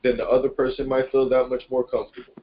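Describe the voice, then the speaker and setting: A man's voice: medium pitch, level tone, fast. A man speaks in a mid-range voice over a dull, lo-fi conference call. He chats informally and rambles a little, in an affirming, motivational way. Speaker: participant in a self-improvement conference call. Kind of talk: casual motivational conversation. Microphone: conference call line.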